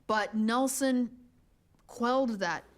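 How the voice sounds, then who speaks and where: A woman's voice: fairly high pitch, takes it slowly. A young woman from Uganda, speaking earnestly and reflectively in a documentary interview. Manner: earnest, reflective, some hesitant restarts. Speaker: student interviewee. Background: music.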